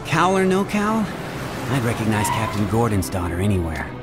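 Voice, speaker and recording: An actor playing an easygoing young superhero sidekick in an animated comic, casual and playful. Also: male voice, fairly low pitch, moderate pace. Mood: amused. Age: young adult. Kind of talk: animated motion comic acting. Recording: loud music under the voice.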